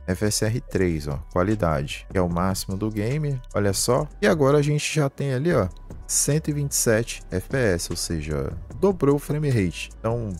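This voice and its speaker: A man's voice: on the low side, darkish tone. A man with a Brazilian Portuguese accent talks through an informal gaming tutorial step by step, with colloquial verbal tags. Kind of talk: informal screen-share tutorial with live commentary.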